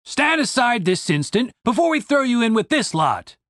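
A man's voice: very high-pitched, sing-song, normal speed, a bit bright, dry recording, studio quality, voice pushed up, full character voice. Man doing a sneering, haughty soldier. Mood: menacing. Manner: contemptuous, barking.

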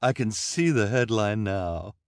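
A man's voice: fairly low pitch, expressive, a little slow, no echo, studio quality. A man voicing an eager woman newspaper reporter who chews gum, in an audiobook.

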